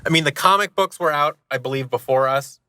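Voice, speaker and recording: Bright-voiced man telling career stories. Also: fairly high pitch, fairly quick, clean tone, no echo. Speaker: podcast guest, audio drama producer and actor. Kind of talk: casual conversational storytelling.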